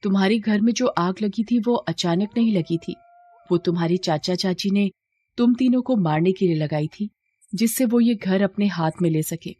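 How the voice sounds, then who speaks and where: A woman's voice: medium pitch, moderate pace, warm tone, lightly acted. A woman voicing a shape-shifting serpent in a moral story, solemn, earnest and grateful.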